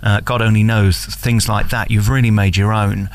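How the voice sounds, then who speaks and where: Male celebrity interviewer, fairly deep with vocal fry, gushing and emphatic in easy conversation. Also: little pitch movement, moderate pace. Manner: effusive, emphatic.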